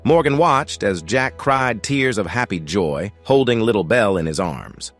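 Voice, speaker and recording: A man narrating an audio drama in a steady, measured storytelling voice on a close mic. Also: lowish voice, faint music behind.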